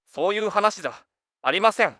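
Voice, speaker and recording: A man's voice: high voice, expressive, brisk, pushed and bright, no room sound, clean studio sound. A young man playing an earnest junior detective in an audio drama, politely complaining to his senior and often exasperated. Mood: exasperated, curious.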